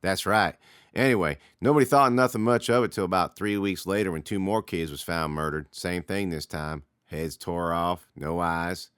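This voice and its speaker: A teasing teenage older brother, played by a man reading aloud, drawing out a spooky tale in a slow, low drawl. The tone is bright and lively. The sound is dry and close. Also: clear, resonant tone, no room sound. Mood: ominous.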